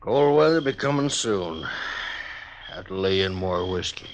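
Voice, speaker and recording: Low-voiced, gray frontier station keeper. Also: male voice, expressive, unhurried. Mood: sullen, dry. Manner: clipped, rustic. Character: gaunt, gray, quiet, troubled male frontier station keeper. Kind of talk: 1950s radio western acting. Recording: dull 1950s radio recording.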